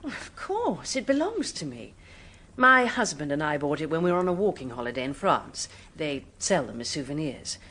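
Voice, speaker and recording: A woman's voice: low-pitched, sing-song, moderate pace, fairly bright tone, husky, exaggerated voice. An actress playing a well-bred, evasive British married woman in a radio drama, polite with anxiety under the poise. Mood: startled.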